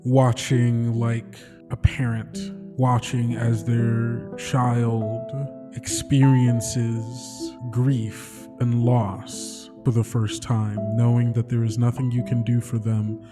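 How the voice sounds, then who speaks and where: A man narrating his character's story solemnly and tenderly, in short measured phrases with pauses. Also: medium pitch, level tone. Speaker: player in a tabletop game podcast. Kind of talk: slow solemn third-person story narration. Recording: long reverb under music.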